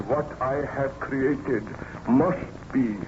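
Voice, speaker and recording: A man's voice: medium pitch, drawn-out words. An actor playing a tormented, exhausted baron obsessed with destroying his monster, in melodramatic, solemn radio drama style. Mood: weary resolve. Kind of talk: old-time radio drama acting. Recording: muffled, noisy old radio recording.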